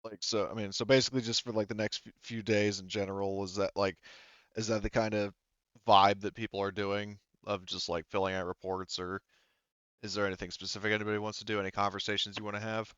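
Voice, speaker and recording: Game master: man, low smooth voice, halting casual improvised narration, muffled dry mic. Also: level tone, a bit bright, no echo. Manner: halting, informal, swears casually.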